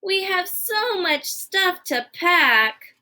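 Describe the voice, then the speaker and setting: A woman voicing an anxious, excitable elephant who loves plans, with emphatic, drawn-out exclamations in a children's storybook. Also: extremely high pitch, pitched above the performer's own voice, full character voice. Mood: urgent, excited. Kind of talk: children's storybook read-aloud.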